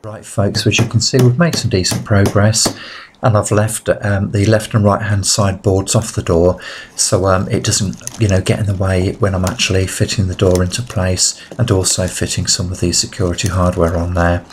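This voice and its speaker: A man's voice: deep voice, little pitch movement, moderate pace, noisy background. A man giving an informal, conversational how-to narration as a DIY video host, explaining each step directly to viewers.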